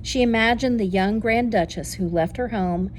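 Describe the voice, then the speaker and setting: A woman reading a children's story aloud in an expressive, deliberate teacher's way. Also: mid-range voice, a little hiss or hum.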